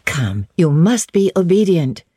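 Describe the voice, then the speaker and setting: Lady narrator doing a genteel bossy young sister in an old storybook, kind but firm, lowish husky voice. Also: very animated, dark tone, dry recording, studio quality, exaggerated voice. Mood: kindly commanding. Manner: brisk, commanding, genteel. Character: kindly, authoritative young Englishwoman, bossy hostess sister.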